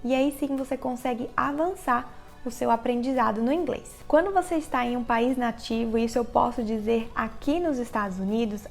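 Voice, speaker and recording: A woman with a Brazilian Portuguese accent explaining English listening tips directly to the viewer in a clear, instructional vlog narration. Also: fairly high pitch. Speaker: English teacher, channel host. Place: living in the United States.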